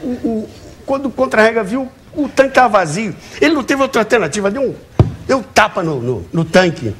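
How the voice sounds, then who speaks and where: High-pitched, animated Brazilian man telling anecdotes. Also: fairly quick. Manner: jovial, acts out quoted dialogue. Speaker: talk show guest. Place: TV studio with audience. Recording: clearly noisy TV studio audio.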